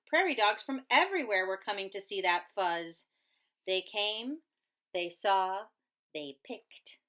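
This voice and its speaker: A woman's voice: mid-range voice, a bit bright. A woman teacher reading a children's storybook aloud with dramatic, emphatic expression.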